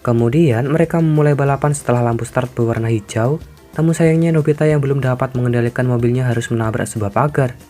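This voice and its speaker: A man narrating a cartoon story recap in a relaxed, chatty way, with informal asides to the viewers. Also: medium pitch, fast, soft background music. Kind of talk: casual scripted story recap.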